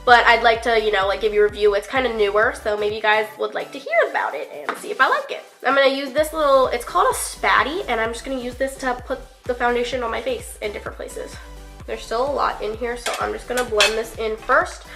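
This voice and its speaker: Bright-voiced young woman, stuffy with a cold. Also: a little high, quick pace, soft background music. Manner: chatty, filler-heavy, offhand swearing. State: congested from a cold. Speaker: beauty vlogger. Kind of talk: casual makeup tutorial chat.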